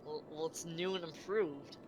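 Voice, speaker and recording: A speaker with a Midwestern accent chatting casually on a stream, hesitant and halting. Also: mid-range voice, background noise. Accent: Midwestern American. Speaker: stream co-host. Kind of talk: casual chat.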